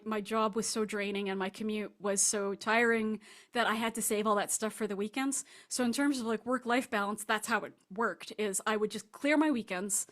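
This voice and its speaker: A woman answers interview questions as a guest author. She talks in a casual, thoughtful conversational style. Her voice has an average pitch for a woman.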